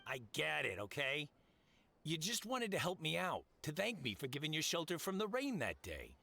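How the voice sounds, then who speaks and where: An actor playing a gruff but kindly middle-aged merchant boss in a video game, blunt and fatherly. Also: on the high side, wildly swooping pitch, moderate pace, sharp tone, full character voice.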